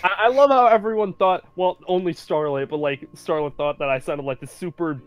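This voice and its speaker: A man's voice: a little high, fairly quick, clean tone, no room sound. A man in a casual group chat who rambles and fills his thoughts with "like" and "um.". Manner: rambling, filler-heavy. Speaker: stream participant in group voice chat.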